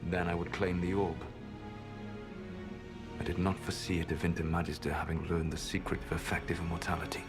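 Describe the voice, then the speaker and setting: An ancient elf mage from a game, deep voice, measured and formal, sad but resolute, music playing under it. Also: male voice, little pitch movement. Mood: grave, explanatory. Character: ancient male elven mage, sorrowful rebel, calm and resolute. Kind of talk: scripted video game acting.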